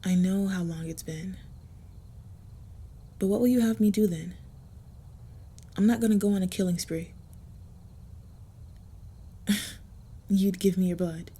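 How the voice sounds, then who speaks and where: A woman playing a guarded, gentle, possessive vampire in an intimate roleplay audio, soft and hushed, with a hesitant, measured delivery. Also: medium pitch. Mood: reluctant, tender. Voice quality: soft, husky, breathy. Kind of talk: intimate roleplay audio with first-person narration.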